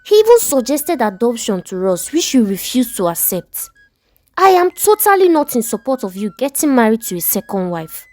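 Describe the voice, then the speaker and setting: A woman's voice: on the high side, very animated, normal speed, a little mellow, dry recording. A woman voicing a betrayed Nigerian wife in a story, indignant, pleading and exclamatory, with a Nigerian accent. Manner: dramatic, exclamatory, questioning.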